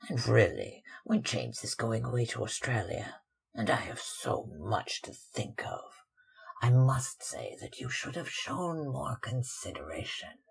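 Narrator putting on a husky, affected older mother. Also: medium pitch, very animated, unhurried, exaggerated voice. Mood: disapproving, calculating. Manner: affected, disapproving, sighing. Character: older mother, faded stage actress, fretful and calculating. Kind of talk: audiobook character acting.

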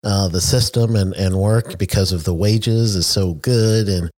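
A chatty, friendly man from the United States with a lowish voice. He talks easily and laughs often, recorded dry and close. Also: fairly even intonation, unhurried, darkish tone, dry recording. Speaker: interviewer, safety trainer. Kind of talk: conversational interview.

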